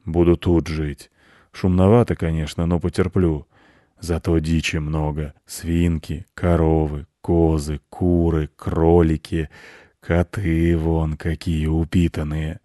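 A man voicing a gloomy, worldly-wise storybook wolf who grumbles in a slow, colloquial, weary way. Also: rumbling low, little pitch movement, warm tone, no room sound, clean studio sound. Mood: gloomy, matter-of-fact.

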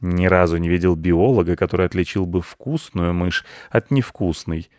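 A grumpy storybook ginger tomcat, read by a man, talks in a lowish drawl. He sounds pompous, sceptical and disapproving of everyone. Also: moderate pace, darkish tone, dry recording, studio quality, close to an everyday voice. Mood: disdainful. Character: self-important, sceptical, grumpy male ginger cat.

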